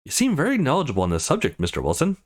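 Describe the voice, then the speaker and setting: Man reading as a playful, animated young game heroine. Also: mid-range voice, very fast, pitched above the performer's own voice. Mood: playful, eager.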